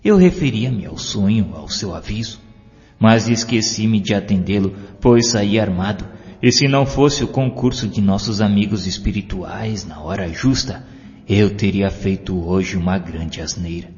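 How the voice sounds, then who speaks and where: A man's voice: lowish voice, a little slow, darkish tone, natural voice. A Brazilian man voicing a grateful, earnest male police guard in an audiobook, speaking emphatically, as if confessing. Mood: earnest, relieved. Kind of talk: audiobook character dialogue. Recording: muffled, long reverb, music behind.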